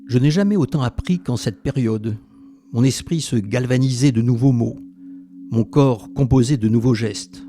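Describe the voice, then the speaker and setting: A man's voice: mid-range voice, moderate pace, mellow, soft background music, close to an everyday voice. A man playing a reflective former resistance fighter, narrating his memories in a scripted first person.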